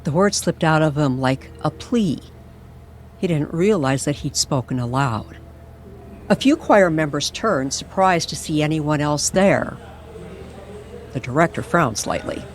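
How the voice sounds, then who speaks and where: A woman reading scripted dramatic story narration in a measured, deliberate way. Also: sits low, expressive, slight background noise. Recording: music bed under the voice. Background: music.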